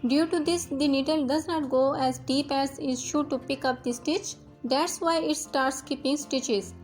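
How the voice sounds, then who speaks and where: A woman's voice: sits high, steady tone, a little slow, faint music behind. A young woman whose first language is Urdu, patiently talking through a sewing machine fix step by step as host of her tutorial channel. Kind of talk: instructional voice-over.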